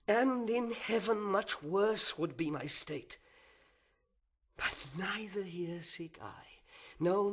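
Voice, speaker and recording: A man performing a proud, vengeful fallen angel in an audiobook of epic verse, theatrical and bitter, with drawn-out words and breaths of whisper. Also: high-pitched, voice pushed up, full character voice. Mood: tormented, bitter.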